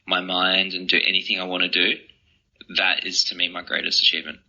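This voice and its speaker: A man with a deep voice and a very bright, forceful edge answers interview questions. He talks conversationally, in an earnest and reflective way. The recording is slightly muffled. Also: monotone, brisk. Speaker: interview guest, author. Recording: slightly dull, lo-fi sound.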